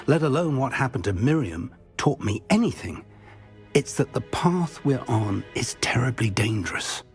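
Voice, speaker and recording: A kindly older wizard professor from a video game. He is earnest, cautious and theatrical, with lively intonation at a middle pitch. Soft music sits underneath. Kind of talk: cinematic video game acting.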